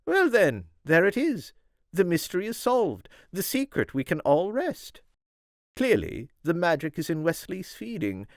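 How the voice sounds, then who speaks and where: Haughty flirtatious countess, played by a man, posh British accent, wildly swooping pitch, imperious drawl, dry close sound, storybook character. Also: huge pitch swings, a little slow, dry recording, full character voice. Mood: flirtatious, grand. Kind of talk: audiobook character voice.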